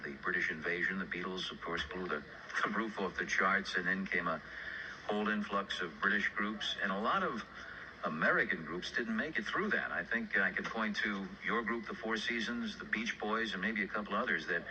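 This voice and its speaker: A man's voice: low-pitched, brisk, sharp tone, slight background noise, poor audio. A man hosting a radio interview and introducing records on an old broadcast tape. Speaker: radio host interviewing musicians. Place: radio studio.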